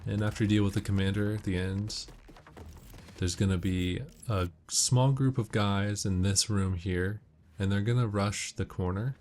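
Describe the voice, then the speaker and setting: A man's voice: lowish voice, fairly even intonation, normal speed, darkish tone, a little hiss or hum. A man giving casual, matter-of-fact walkthrough commentary on a video game, explaining tips as he plays. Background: video game audio with music and gunfire.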